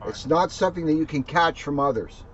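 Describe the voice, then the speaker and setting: A man's voice: fairly high pitch, animated, a little fast, fairly bright tone. A man, a police officer, reading a children's book aloud and explaining it in simple terms. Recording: dull lo-fi sound, faint background noise.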